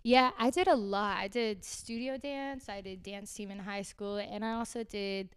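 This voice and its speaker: A young woman from Houston chats easily as a podcast guest, her speech full of "like" and quick laughs. Her voice is very clear and smooth, recorded close and dry with no room sound. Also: mid-range voice, fairly even intonation, dry recording. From Houston, Texas. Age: young adult. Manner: chatty, lots of "like", laughs often. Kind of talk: casual interview chat.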